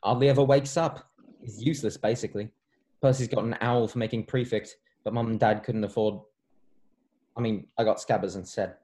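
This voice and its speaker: A man's voice: fairly low pitch, fairly even intonation, a little fast, mellow, lightly acted. A man playing a frank, chatty young wizard boy in a table read, with a casual delivery. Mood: chatty, glum. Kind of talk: online table read of a screenplay.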